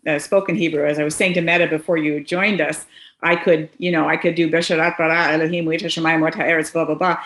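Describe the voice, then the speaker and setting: A Canadian woman in her sixties, a guest on a video call from her living room, talks in a lively way with frequent laughs and quick asides. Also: sits low, reverberant. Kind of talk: casual conversation. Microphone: webcam on a video call.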